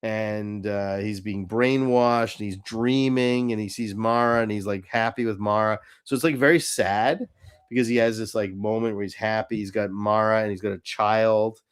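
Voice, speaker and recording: Clear-voiced man in casual fan chat. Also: lowish voice, fairly even intonation, normal speed. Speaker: podcast co-host.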